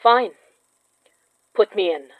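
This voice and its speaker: A woman's voice: mid-range voice, lively intonation, slow pace, no room sound, narrow, tinny audio. A woman playing a determined, defiant young heroine in a horror audio drama, with curt, demanding delivery. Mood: resolute.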